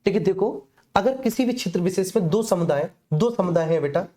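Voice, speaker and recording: Mellow-voiced teacher repeating key points. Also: medium pitch, expressive, a little fast. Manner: repeats phrases, checks understanding, addresses students as children. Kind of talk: online exam-prep lesson, explaining to students.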